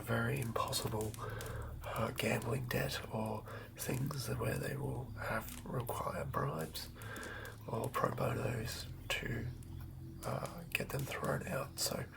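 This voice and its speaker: A man doing improvised ASMR in a breathy whisper, unhurriedly murmuring to himself as he works. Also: medium pitch, slow. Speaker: ASMR host.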